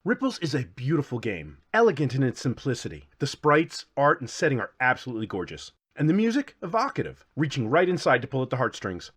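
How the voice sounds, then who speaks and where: A man's voice: medium pitch, wildly swooping pitch, a little fast, hardly any pauses, fairly bright tone, husky. A man narrating a scripted comedic review as host, with a theatrical, wry, self-deprecating delivery.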